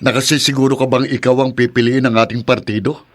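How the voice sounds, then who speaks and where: A man playing a combative, self-righteous younger brother and mayor, accusing and forceful, in Filipino radio drama style with a Filipino accent. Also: mid-range voice, steady tone, quick pace, natural voice.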